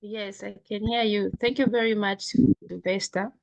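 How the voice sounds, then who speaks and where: A woman's voice: medium pitch, steady tone, a little slow, dry recording, poor audio. Zambian woman leading a training session. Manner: engaging, asks the group questions. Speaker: workshop facilitator. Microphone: video call.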